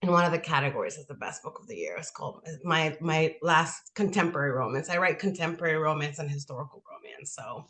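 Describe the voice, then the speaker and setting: A woman's voice: deep voice, lively intonation, fast, few pauses, a bit bright. A woman in her forties from the Republic, answering interview questions in a chatty way full of "like" fillers. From Dominican Republic. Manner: chatty, heavy use of "like" fillers. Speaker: guest author.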